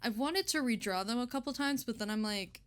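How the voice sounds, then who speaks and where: A woman's voice: on the high side, animated, fairly quick. A young woman in a casual interview, talking as an illustrator in an informal, slangy, giggly way.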